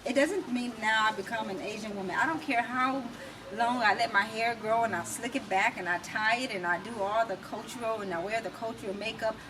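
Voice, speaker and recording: An American woman telling her personal story as an interview guest, emphatic and reflective, in short deliberate phrases. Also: on the high side. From United States. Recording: distant mic, clearly noisy background.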